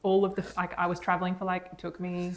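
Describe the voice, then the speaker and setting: A woman's voice: lowish voice, monotone, fast talker. A young woman co-hosting a knitting podcast, talking enthusiastically and rambling with lots of restarts. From Australia. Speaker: podcast co-host. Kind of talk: casual chat.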